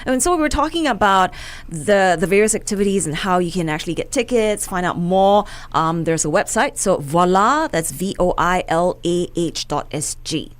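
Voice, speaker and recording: A woman hosting a morning radio show from the studio. She is bright and easygoing, moving the interview along with friendly questions. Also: fairly low pitch, lively intonation, normal speed, hardly any pauses. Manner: bright, laughs easily. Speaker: radio host.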